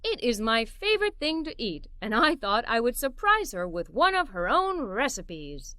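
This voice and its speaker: A woman's voice: on the high side, very animated, normal speed, pushed and bright, no room sound, pitched below the performer's own voice. A woman voicing a kindly, bookish father talking affectionately to his young daughter in a children's storybook. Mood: friendly, thoughtful.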